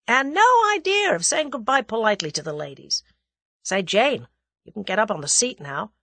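A brash young American man from a storybook thriller, acted out by a woman, with a husky edge and a lively swing in pitch. He gives orders coolly and tosses off wry slang in a breezy, commanding way. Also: normal speed, dry recording, heavily acted.

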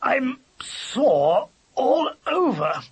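A man playing an easygoing shaggy dog, rough-voiced. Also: fairly high pitch, lively intonation, a little slow, a bit bright, exaggerated voice. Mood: groggy. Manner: casual, matter-of-fact, chatty. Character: easygoing, shaggy, drowsy male dog, good-natured.

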